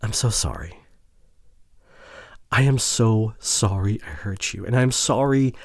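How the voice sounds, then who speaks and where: A man playing a remorseful, devoted boyfriend in an intimate ASMR audio drama, with a breathy voice that often drops toward a whisper and an earnest, halting delivery. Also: lowish voice, lively intonation, dry recording, studio quality, exaggerated voice. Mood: apologetic, tender. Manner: emotional, earnest, halting with sighs. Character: remorseful, devoted boyfriend pleading to repair relationship.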